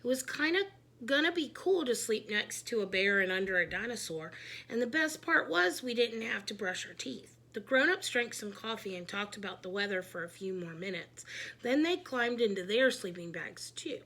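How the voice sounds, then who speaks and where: A woman reading a children's book aloud, narrating expressively in an animated, emphatic way. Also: mid-range voice, moderate pace. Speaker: read-aloud storyteller.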